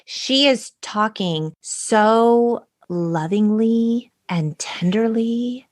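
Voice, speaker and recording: A woman co-hosting a podcast in casual comedic chat, with animated and wry banter. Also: fairly high pitch, very slow. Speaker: podcast co-host.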